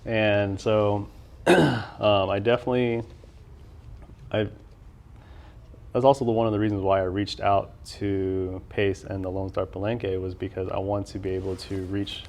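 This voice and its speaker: A young adult man hosting a casual interview, laid-back and quick with murmured backchannels. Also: lowish voice, level tone, gravelly, darkish tone. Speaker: podcast host.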